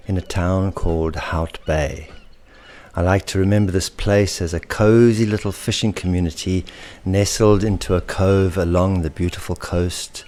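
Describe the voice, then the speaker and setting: A man reading a story as its author, theatrically, with dramatic drawn-out phrasing that drops into hushed whispers. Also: low voice.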